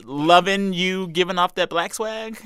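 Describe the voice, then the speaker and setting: A man's voice: sits high, measured pace, fairly bright tone, clear, resonant tone, no echo, studio quality. A man hosting a radio interview, chatty and enthusiastic. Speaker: host.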